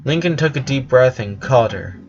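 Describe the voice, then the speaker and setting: A man's voice: mid-range voice, expressive, fairly quick, a little mellow. Man narrating a story, slightly dull recording. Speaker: narrator reading fan fiction. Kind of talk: expressive story narration with character dialogue.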